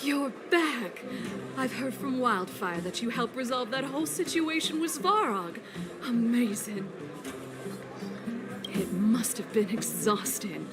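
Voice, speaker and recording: Kindly, slow-speaking young woman. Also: fairly high pitch, lively intonation, over music. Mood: welcoming, admiring. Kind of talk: video game dialogue.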